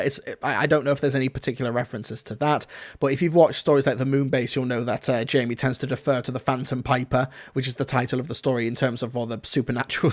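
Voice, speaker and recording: A man reviews off the cuff for a livestream. His voice sits at an average pitch, and he rambles along with clear enthusiasm.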